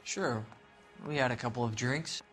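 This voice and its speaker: An actor playing a brash, defensive young 1940s sailor under questioning in a video game, talking in blunt slang. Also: male voice, medium pitch, normal speed, over music, close to an everyday voice. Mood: uneasy. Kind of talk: video game cutscene acting.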